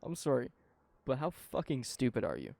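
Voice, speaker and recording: Animated, gravelly young man. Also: mid-range voice, normal speed. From Canada. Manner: profane, rambling, animated. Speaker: podcast co-host. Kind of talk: casual opinion chat.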